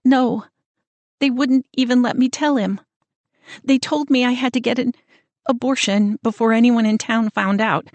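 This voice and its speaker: A woman's voice: mid-range voice, steady tone, moderate pace, a little mellow, no echo, natural voice. A woman voicing a frightened young woman who talks in a shaky voice close to tears. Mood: tearful. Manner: shaky, close to sobbing, then bitter. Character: frightened, tearful young woman, a protective older sister.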